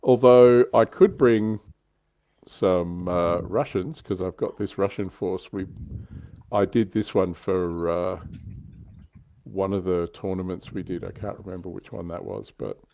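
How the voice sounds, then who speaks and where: A man's voice: deep voice, measured pace, gravelly, dark tone, dry recording. A man hosting a livestream in casual, wry, self-deprecating chat. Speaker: livestream host. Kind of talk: casual chat about tabletop wargaming.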